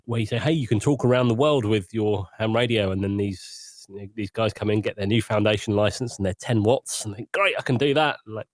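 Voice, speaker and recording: A man's voice: fairly low pitch, a little fast, hardly any pauses, no echo. A man chatting casually and candidly as a podcast panelist, in a self-deprecating way. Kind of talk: casual chat, personal anecdote.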